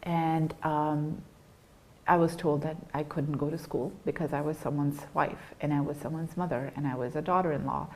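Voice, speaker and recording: A young woman speaks in a low register, earnest and unhurried. She talks as a guest, stopping and restarting often with small fillers. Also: fairly even intonation, a little mellow, slight background noise, low-quality recording. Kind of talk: personal testimony in an interview.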